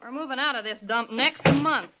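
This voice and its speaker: An actress playing a suspicious, fed-up housewife, brusque and complaining, in 1930s radio crime drama style. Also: female voice, high voice, moderate pace, very noisy, lightly acted. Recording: dull lo-fi vintage radio recording.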